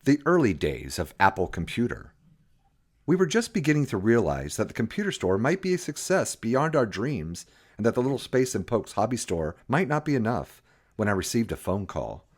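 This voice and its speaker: A man reading an audiobook as narrator, telling a story in an animated, scripted style with acted dialogue. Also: fairly low pitch, moderate pace, husky.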